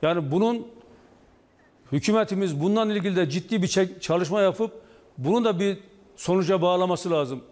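Man in his 60s, high voice, deliberate halting phrasing, TV interview guest, long reverb. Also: darkish tone. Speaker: trade union official, interview guest. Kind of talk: live TV news interview, explaining. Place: indoor office or studio, video link to TV broadcast. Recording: long reverberant hall echo.

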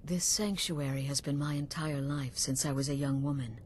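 A woman voicing a middle-aged assassin leader in a video game, coolly sinister and sly, measured and knowing with dramatic pauses. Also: low-pitched, steady tone, a little mellow, a little hiss or hum, close to an everyday voice.

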